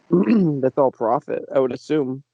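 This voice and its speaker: A man co-hosting a casual podcast who thinks out loud and hedges his guesses. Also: mid-range voice, lively intonation, takes it slowly, dry recording, muffled recording. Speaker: podcast co-host.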